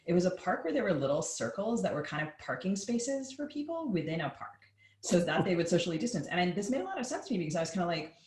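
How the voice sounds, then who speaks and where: A woman co-hosting a podcast, talking casually and informally in a halting, conversational way. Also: lowish voice, sing-song, darkish tone. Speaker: podcast co-host. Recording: roomy, echoing sound.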